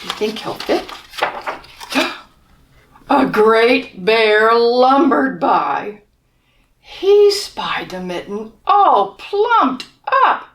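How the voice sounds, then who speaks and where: Lady reading a kids book out loud, slow and super expressive, bit far from the mic. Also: a little high. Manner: expressive, asks listeners questions, drops to whispers. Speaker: storybook reader. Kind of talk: children's picture book read-aloud.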